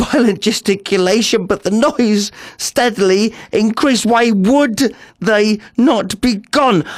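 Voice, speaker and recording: A man's voice: sits high, no room sound, studio quality, pitched above the performer's own voice. A man playing an unhinged, cunning murderer confessing in a theatrical reading of a horror story, with dramatic swings in delivery. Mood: frantic, raving.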